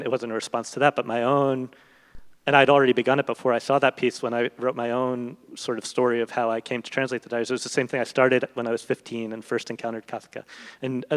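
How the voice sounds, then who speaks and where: A man speaking as a literary translator and guest in an on-stage conversation, thoughtful and digressive, often searching for his words. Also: mid-range voice. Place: large hall, public event. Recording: reverberant hall.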